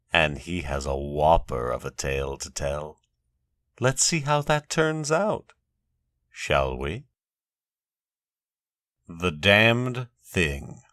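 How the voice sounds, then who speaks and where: A man narrating a horror story in a deliberate, theatrical, literary manner, like the host of a scripted show. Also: very deep voice, no room sound, clean studio sound.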